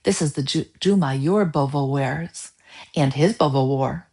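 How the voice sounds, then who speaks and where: A woman's voice: low-pitched, a little slow, a little mellow. Woman reading as a proud old grandmother. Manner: fond, explaining. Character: proud, affectionate old grandmother in a children's storybook.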